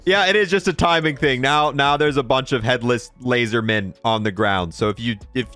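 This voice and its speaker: A man's voice: medium pitch, normal speed, sharp tone. A man hosting a gaming video with casual, playful showcase commentary.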